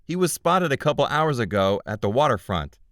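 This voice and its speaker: A man's voice: mid-range voice, very animated, brisk, a bit bright, dry recording, clean studio sound, voice pushed up. A man voicing a smug, sly information broker with a wry way of talking.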